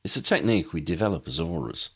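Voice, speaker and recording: A man's voice: low voice, expressive, fast, fairly bright tone, husky, exaggerated voice. A man voicing an earnest wizard team leader in a storybook, serious, careful and explanatory.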